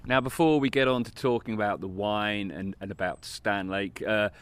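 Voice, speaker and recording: A man's voice: lowish voice, normal speed, a bit bright, smooth. A man hosting a local podcast, reading a jokey upbeat intro and then interviewing a guest. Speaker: podcast host and producer.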